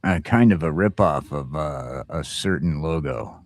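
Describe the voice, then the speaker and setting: A man's voice: a bass voice, animated, measured pace, no echo, clean studio sound. A man hosting a casual morning talk show, chatting in a joking, self-deprecating way.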